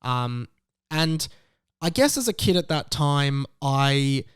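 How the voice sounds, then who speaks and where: A young Australian man talks casually as a podcast host. His voice is smooth and slightly high for a man, recorded dry and close, and he breaks sentences up to lean on important words. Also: sing-song, takes it slowly, no room sound. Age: late 20s.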